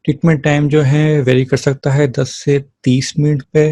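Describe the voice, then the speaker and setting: Low-pitched male lecturer, flat and mellow. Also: normal speed. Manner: explanatory, checks in with rhetorical questions and tags. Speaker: physiotherapy lecturer. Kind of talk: informal explanatory lecture, mixing in English terms.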